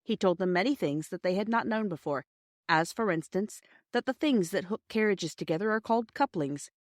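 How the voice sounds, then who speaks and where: A woman's voice: medium pitch, animated, brisk, dry recording, clean studio sound. A woman reading a storybook aloud as scripted narration. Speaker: audiobook reader.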